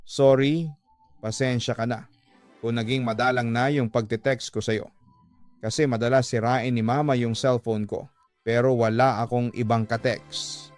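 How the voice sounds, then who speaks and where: A woman in her thirties, acted out by a male narrator, defensive and placating with an irritable edge. The radio drama delivery stays at a medium male pitch, with music playing underneath. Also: steady tone, a little slow, a little mellow, dry recording, natural voice. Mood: apologetic, lying.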